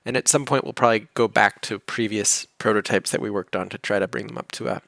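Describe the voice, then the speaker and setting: A man's voice: on the low side, fast talker, gravelly. A man chatting casually on a livestream Q&A, explaining his team's work at length over a video call. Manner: relaxed, explains at length, chuckles between points. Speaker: game developer answering questions.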